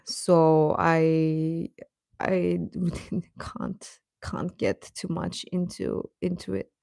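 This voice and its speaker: A woman's voice: low-pitched, lively intonation, very slow, vocal fry, darkish tone, no echo, studio quality. A woman hosting a live stream, chatting casually with viewers in an informal, hesitant, self-deprecating way.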